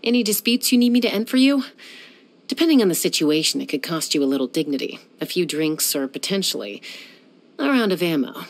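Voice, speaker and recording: A woman's voice: lowish voice, expressive, fairly quick. A young woman voicing a self-assured, dryly teasing gunslinger who flies and settles disputes, in video game voice lines. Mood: cool. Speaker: game character.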